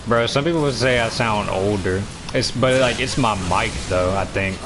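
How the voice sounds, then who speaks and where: Young male streamer, 19, deep low voice, loose rambling delivery, noisy background hiss. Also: moderate pace. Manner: rambling, many fillers and restarts. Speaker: gaming streamer. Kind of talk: casual chat while streaming gameplay.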